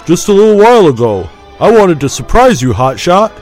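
A man's voice: mid-range voice, expressive, moderate pace, dark tone, faint music behind, voice pushed up, lightly acted. A man voicing a confident, slightly smug young cadet with a teasing, self-assured delivery.

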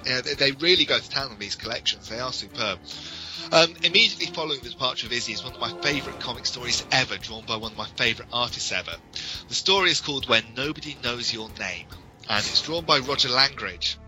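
A man's voice: mid-range voice, fast talker, pushed and bright, soft background music, rough recording. A British man co-hosting a podcast, giving detailed, enthusiastic, informal explanations. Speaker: podcast co-host.

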